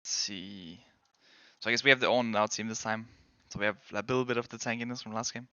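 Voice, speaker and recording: Young danish guy on stream, kinda low voice, rambles and hedges a lot, dry muffled mic. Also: steady tone, fast, sharp tone, dry recording. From Denmark. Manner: rambling, hedging, self-correcting. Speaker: game streamer and pro player. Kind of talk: casual chat answering viewers.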